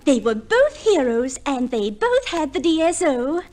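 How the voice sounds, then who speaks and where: A flighty young society wife, pitched high and very animated. She is vain and genteel, gushing in an affected way, in the manner of old radio comedy. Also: measured pace. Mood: gushing, self-satisfied. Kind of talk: 1950s radio comedy acting.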